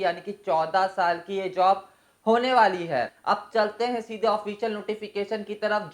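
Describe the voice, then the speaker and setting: A woman hosting an informational explainer for viewers, going through details methodically point by point and mixing in many English terms. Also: mid-range voice. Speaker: host of an exam and job information channel.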